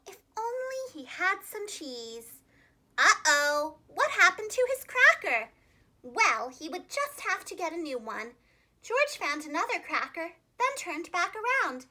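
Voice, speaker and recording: A woman reading a children's storybook aloud in an expressive, carefully enunciated preschool-teacher style. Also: extremely high pitch.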